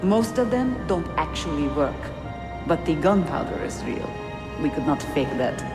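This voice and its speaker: A woman's voice: low voice, sing-song, measured pace, roomy sound. A woman playing a defiant, protective rebel spy in a video game, speaking bluntly and urgently. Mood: businesslike. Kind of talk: dramatic video game cutscene acting. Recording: game audio under loud music.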